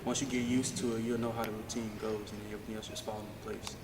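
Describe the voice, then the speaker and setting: A teenage male high school basketball player answering in long run-on sentences. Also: little pitch movement, racing, darkish tone. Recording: far from mic, noisy.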